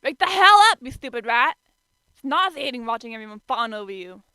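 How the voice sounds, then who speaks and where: A woman voicing a hot-tempered young man in an amateur story reading, loud and vehement. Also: fairly high pitch, sing-song, brisk, clear, resonant tone, no room sound, exaggerated voice. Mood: shrieking, furious.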